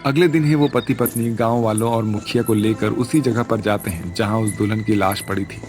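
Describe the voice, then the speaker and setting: A man's voice: on the low side, fairly even intonation, a little fast, a little mellow, soft background music. A man narrating a scripted spooky village story as its storyteller.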